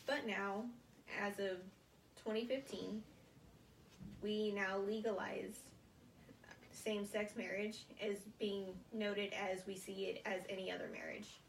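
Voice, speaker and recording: A young American woman giving a prepared informative speech as a student, speaking haltingly in short phrases, recorded at a distance in a room. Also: medium pitch, vocal fry. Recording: roomy, distant mic, faint noise.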